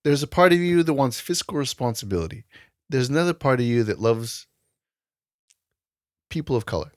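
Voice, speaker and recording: A man's voice: medium pitch, expressive, normal speed, dry recording, clean studio sound. A man co-hosting a podcast in casual discussion, explaining things through examples and analogies. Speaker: podcast co-host.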